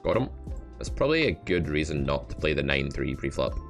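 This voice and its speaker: Male poker coach, extremely low voice, live commentary, blunt and wry, music in the background. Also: big pitch swings, fairly quick. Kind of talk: live play-and-explain commentary.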